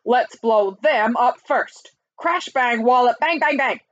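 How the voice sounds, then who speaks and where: A woman's voice: a little high, slow pace, a bit bright, lo-fi sound, pitched above the performer's own voice. A woman playing a boisterous, boyish male army chief who loves explosions, in a storybook. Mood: excited.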